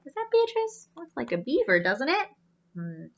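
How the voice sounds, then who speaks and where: A woman reading a storybook aloud to children, pausing in a teacherly way to explain pictures and ask questions. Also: sits high, huge pitch swings, vocal fry. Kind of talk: animated read-aloud storytelling with asides. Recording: slightly dull, lo-fi sound.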